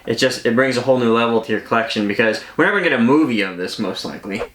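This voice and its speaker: Guy doing a casual unboxing, bright forward voice, super into it, roomy echo. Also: mid-range voice, expressive, racing. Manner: enthusiastic, conversational. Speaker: collectibles unboxing host. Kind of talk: casual unboxing commentary to viewers. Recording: echoey room.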